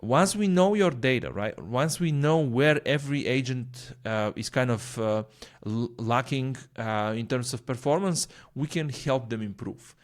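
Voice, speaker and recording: A man giving an informal, explanatory walkthrough of a software product, often checking in with a quick "right?". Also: mid-range voice, lively intonation. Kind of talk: walkthrough software demo narration.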